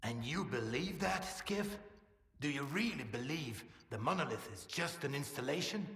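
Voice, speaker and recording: An actor playing a solemn, resolute faction leader in a video game, speaking in an earnest, measured, persuasive way. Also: male voice, a little high, a bit bright. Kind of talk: dramatic video game acting. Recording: echoey hall with long reverb.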